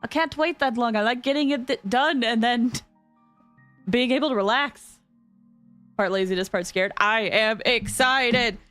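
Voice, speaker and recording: A woman hosting a game livestream, chatting casually with viewers in an expressive, excitable way and often repeating phrases for emphasis. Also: on the high side, a little slow, no echo, soft background music. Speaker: game streamer and host.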